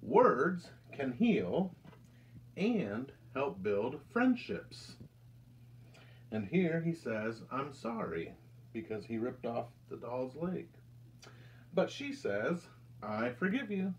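An American man in his thirties reads a picture book aloud to children with deliberate, expressive storytelling delivery. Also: medium pitch, a little hiss or hum, low-quality recording. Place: indoors, small room with curtain backdrop.

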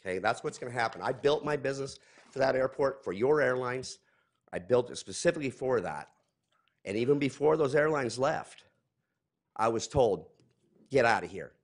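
A man's voice: medium pitch, expressive, normal speed. A man in his forties giving a heated, accusing public comment at a podium mic in a large council chamber.

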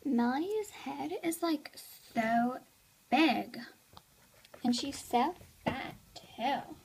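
A woman's voice: high-pitched, expressive, extremely slow, creaky, a little hiss or hum, exaggerated voice. Girl putting on a catty snobby teen girl. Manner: valley-girl drawl with "like" fillers, catty. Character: snobby gossiping teen girl, fake friend. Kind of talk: toy skit voice acting.

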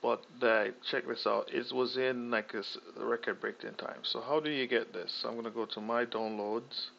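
A young man casually talking through a software tutorial, thinking aloud with fillers and restarts. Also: medium pitch, steady tone, moderate pace, gravelly, slight background noise. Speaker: tutorial host. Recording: slightly dull lo-fi audio.

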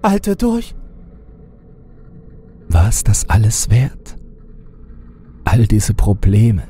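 A man narrating a horror audiobook in a deliberate, theatrical way, at times dropping to a hushed tone. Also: lowish voice, a little hiss or hum. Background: music under the voice.

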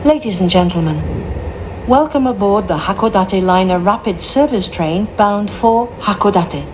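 Slow, formal woman announcing on a train. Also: medium pitch, animated. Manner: formal, polite, carefully phrased. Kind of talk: scripted English onboard announcement. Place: inside a train, over the announcement system. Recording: noisy train cabin recording.

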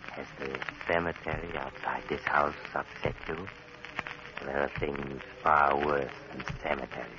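An actor playing a sinister male horror host in a 1940s radio drama, telling the story slowly and ominously. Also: very deep voice. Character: sinister male host Doctor Weird, deep and mocking. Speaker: host narrator. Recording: dull old radio broadcast with hiss.

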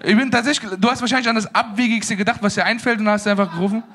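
A man's voice: sits high, rapid-fire. A young man from an Afghan family in Germany performing a stand-up set on a small stage with a handheld mic, acting out little scenes. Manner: animated, acts out dialogues with put-on voices. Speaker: stand-up comedian. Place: small stage with living-room set before a live audience.

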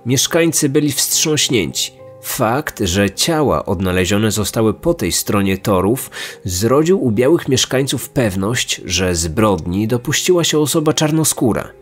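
A man reading a scripted true-crime narration. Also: mid-range voice. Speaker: podcast narrator. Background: background music.

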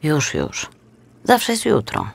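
A woman playing a laid-back, weary female seal in an audiobook, deadpan and exasperated. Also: deep voice, slow pace, vocal fry, slightly rough, heavily acted. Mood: sleepy, consoling. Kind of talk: audiobook character acting.

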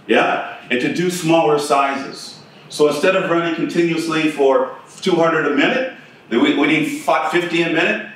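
Guy doing a keynote, chatty, keeps asking the room stuff, kinda high voice, sounds far off. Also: a bit bright. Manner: conversational, asks audience questions. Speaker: keynote speaker. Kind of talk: conference keynote talk. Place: conference room with projector screen. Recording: echoey room, from a distance.

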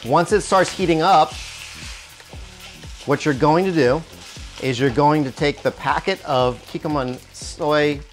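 A man in his forties hosting a cooking show, explaining each step calmly in a home kitchen with small restarts, on a lapel mic. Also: a little high, measured pace, long pauses. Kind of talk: cooking demonstration. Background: soft music and sizzling wok.

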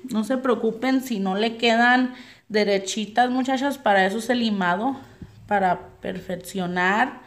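A young woman, not a native Spanish speaker, chatting through a nail tutorial at home and addressing her viewers as girls. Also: mid-range voice. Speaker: nail artist. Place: home nail table, dogs barking outside.

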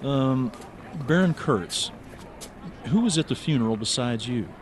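An actor playing a blunt, dogged writer in a radio drama, speaking in a direct, questioning and insistent way. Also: male voice, medium pitch, lively intonation, unhurried, slight background noise, close to an everyday voice. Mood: probing. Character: dogged, blunt American writer probing a friend's death.

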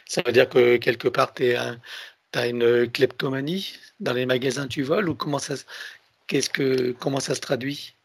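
A man in his sixties, a hypnotherapist on a headset video call, asking calm probing questions between short acknowledgments. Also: mid-range voice, normal speed, gravelly, husky, no room sound. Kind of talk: therapy intake interview.

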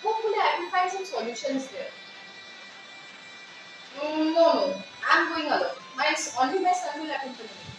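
A woman's voice: sits high, sing-song, normal speed. A young Indian-accented woman playing a businesswoman, mother and boss in an amateur student play, recorded in an echoey room. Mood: businesslike. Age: young adult. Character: anxious, grateful businesswoman, mother and strict boss. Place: echoey rooms indoors. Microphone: phone camera at a distance. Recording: echoey room, distant phone, music behind.

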